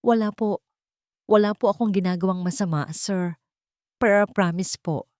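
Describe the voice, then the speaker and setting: A woman voicing a frightened, meek young female cleaner in a story, timid, halting and pleading. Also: mid-range voice, darkish tone, no echo, studio quality, natural voice.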